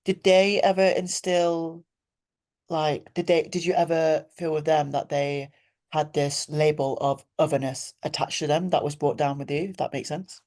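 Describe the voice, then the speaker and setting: A woman's voice: deep voice, steady tone, normal speed, darkish tone. A young woman interviewing, asking thoughtful, loosely phrased questions in a casual conversation.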